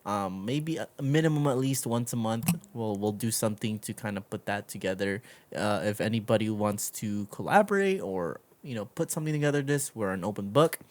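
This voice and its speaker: A man's voice: fairly low pitch, a little fast, few pauses. A man hosting a podcast in a casual conversational style, speaking informally and feeling his way through his sentences. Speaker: podcast host.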